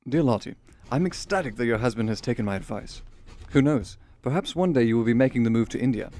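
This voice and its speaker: An actor playing a courteous, affectionate Victorian gentleman reading letters to his sister in a radio drama, in formal, articulate period diction. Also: mid-range voice, expressive, fast, natural voice. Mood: pleased, encouraging.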